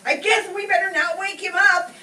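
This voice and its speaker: A woman's voice: very high-pitched, fairly even intonation, normal speed, sharp tone, exaggerated voice. A woman playing an eager little girl playmate in a 1940s radio comedy. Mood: eager, coaxing. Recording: echoey hall, distant, noisy.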